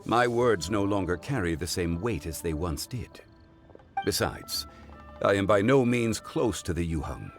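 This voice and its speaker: Game sage: dignified consultant, courteous and measured, deep voice with plenty of inflection. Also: male voice, expressive. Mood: grave, resigned. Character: dignified, wise, composed gentleman consultant, once mighty. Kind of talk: video game cutscene acting. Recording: background music.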